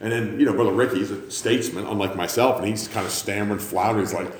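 A man's voice: lowish voice, steady tone, fast talker, a little hiss or hum. A man preaching a sermon to a church congregation in a conversational, self-mocking, teasing manner. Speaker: pastor. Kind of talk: sermon with joking asides. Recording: roomy hall with faint ambience.

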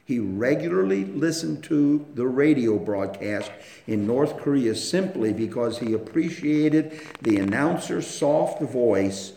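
A man preaching a sermon, swinging between hushed storytelling and loud, impassioned emphasis. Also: mid-range voice, creaky. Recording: echoey room with faint noise.

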